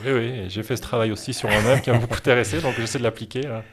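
A man working as a wealth management advisor, answering interview questions in a measured, explanatory way with frequent hesitations. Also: mid-range voice, steady tone, husky.

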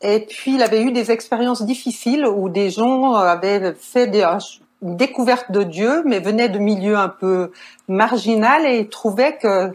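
A woman's voice: medium pitch, moderate pace, darkish tone. A woman in her sixties with a Swiss French accent, a nurse and counselor answering interview questions in a hesitant way, with many fillers and restarts. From Switzerland. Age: 60s. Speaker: guest nurse and marriage counselor.

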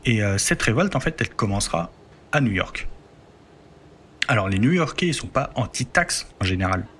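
A man with a lowish voice explains history in a relaxed podcast chat. He talks it through step by step, pausing on filler words as he builds his sentences. Faint background noise sits under his voice. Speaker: host telling history.

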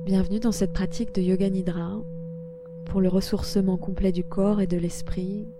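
Woman guiding meditation, very even pitch. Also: noisy background. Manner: soothing, unhurried, repetitive instructions. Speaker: yoga nidra guide. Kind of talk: guided relaxation meditation. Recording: loud meditative music bed. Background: singing bowl meditation music.

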